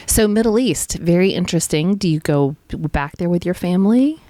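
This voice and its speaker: A woman's voice: fairly low pitch, expressive, brisk, mellow, dry recording. A woman interviewing a student in a chatty, encouraging way. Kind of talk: friendly interview chat.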